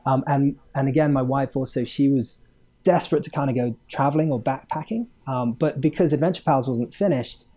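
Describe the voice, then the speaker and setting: Mellow man, rambling. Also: medium pitch, a little fast. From Hong Kong. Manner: rambling, many fillers, chuckles mid-sentence. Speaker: game studio owner, guest. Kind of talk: casual interview chat.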